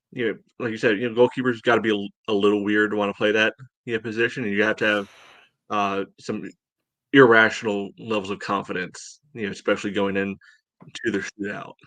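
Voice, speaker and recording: A man co-hosting a sports podcast, giving measured opinions in a casual, conversational way. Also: lowish voice, level tone, a bit bright, no room sound.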